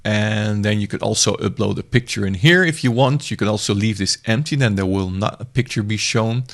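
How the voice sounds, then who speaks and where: Man with a gravelly voice, casual and meandering as he walks through software on screen, often restarting mid-sentence. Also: fairly low pitch, brisk. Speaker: software reviewer. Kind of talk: screen-recorded software demo.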